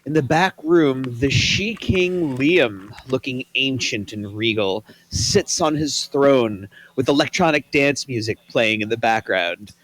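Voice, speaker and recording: A man's voice: mid-range voice, a little slow, hardly any pauses, a bit bright, low-quality recording. A man reading scripted stage directions as narrator for a table read.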